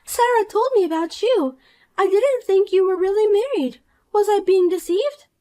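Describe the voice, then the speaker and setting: A woman's voice: extremely high pitch, moderate pace, warm tone, pitched above the performer's own voice. A woman voicing a shallow, selfish, jealous young woman in a dramatized story, accusing and self-pitying. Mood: whiny.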